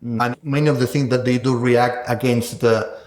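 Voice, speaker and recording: A man with a mid-pitched voice talks in a reverberant room. He explains scholarly ideas in a thoughtful, conversational interview style, often checking in with short tag questions. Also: steady tone, moderate pace, darkish tone. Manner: thoughtful, explanatory, tags phrases with "no?" and "right?". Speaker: sound scholar, interviewed guest. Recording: echoey room.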